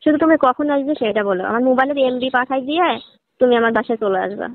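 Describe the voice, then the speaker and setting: Fast-talking, coaxing flirtatious sister-in-law played by a woman. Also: high voice, dry recording, close to an everyday voice. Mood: persuasive, flirtatious. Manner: coaxing, teasing, suggestive. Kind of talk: staged phone-call dialogue. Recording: tinny narrowband phone line.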